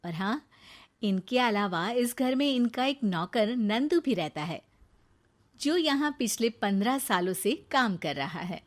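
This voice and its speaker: A woman's voice: fairly high pitch, animated, unhurried. A woman reading scripted narration for a Hindi moral story as its storyteller.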